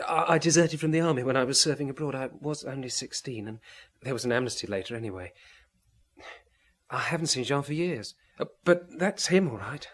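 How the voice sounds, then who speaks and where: An actor playing a meek, anxious drifter in his thirties in a radio drama, hesitant and husky. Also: mid-range voice, brisk, lightly acted. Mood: ashamed. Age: 30s. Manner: hesitant, apologetic, stumbling.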